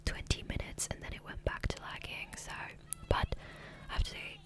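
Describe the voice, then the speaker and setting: A woman whispering breathily in a casual, rambling ASMR style, close to the microphone. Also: moderate pace. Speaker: ASMR gaming video creator. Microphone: close USB condenser microphone.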